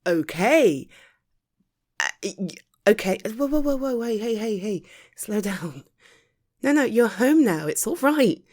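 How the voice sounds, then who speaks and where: A woman's voice: a little high, big pitch swings, a little slow, long pauses, a little mellow. A woman with a British accent playing a caring, tired girlfriend home from the office, talking in a soothing, chatty, hesitant way in an intimate ASMR roleplay. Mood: soothing, reassuring.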